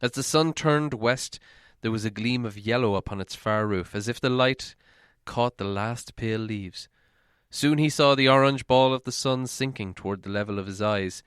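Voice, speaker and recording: A man's voice: mid-range voice, moderate pace, fairly bright tone, clean tone, no echo, studio quality. A man reading a story aloud as a dramatic audiobook narrator.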